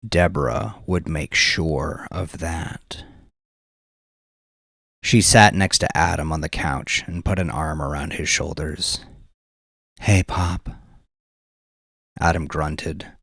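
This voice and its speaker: A man's voice: very deep voice, fairly even intonation, takes it slowly, gravelly, a bit bright, breathy edge, no echo, studio quality. A man narrating a story as a dramatic scripted audiobook reading.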